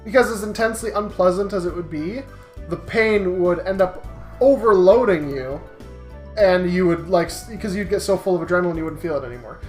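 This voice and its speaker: A woman's voice: fairly low pitch, a little fast, a little mellow, roomy sound, soft background music. A woman co-hosting a casual comedy chat, arguing silly points with playful, mock-serious reasoning. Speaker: podcast co-host.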